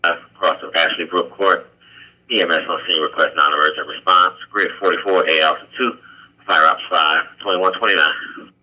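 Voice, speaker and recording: A man's voice: deep voice, steady tone, moderate pace, sharp tone, poor audio. A man working as an emergency dispatcher, making a formulaic dispatch announcement over two-way radio.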